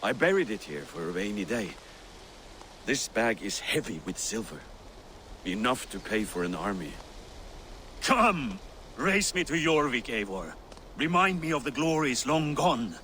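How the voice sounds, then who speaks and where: A man's voice: mid-range voice, sing-song, unhurried, pauses often, bright, forceful tone, a little hiss or hum. A man playing a boisterous, scheming, hard-drinking Viking warrior in a dramatic video game, with a theatrical way of talking. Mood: nostalgic, then boisterous, goading.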